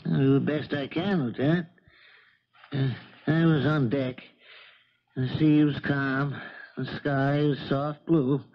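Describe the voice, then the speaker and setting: A man's voice: mid-range voice, fairly even intonation, slow, long pauses. A man acting an old charter boat captain in a 1970s radio drama, folksy and plain-spoken, shaken and indignant. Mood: shaken, friendly. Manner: folksy, ungrammatical working-man speech. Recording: muffled vintage radio broadcast recording.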